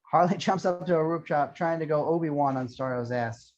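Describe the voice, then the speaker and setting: A man's voice: on the high side, a little fast, darkish tone, slight background noise. A man reading screenplay action lines as scripted narration at an amateur table read. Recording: dull lo-fi sound.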